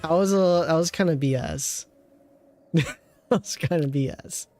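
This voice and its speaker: A man's voice: on the high side, a little slow, a little mellow. A male gaming streamer chats casually as he plays, with game music faintly behind him. He is wry and often mutters to himself. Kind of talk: casual live game commentary.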